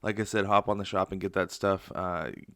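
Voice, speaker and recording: A man's voice: deep voice, little pitch movement, fairly quick, a bit bright, clean tone, no room sound, clean studio sound. An American man chatting casually as a podcast host. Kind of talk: casual interview and sign-off chat.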